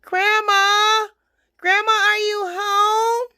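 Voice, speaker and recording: A woman's voice: extremely high pitch, flat delivery, drawn-out words, pushed and bright, dry recording, clean studio sound, voice pushed up, full character voice. A woman voicing a polite, trusting, cheerful little girl in a storybook tale, with sweet sing-song innocence. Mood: curious.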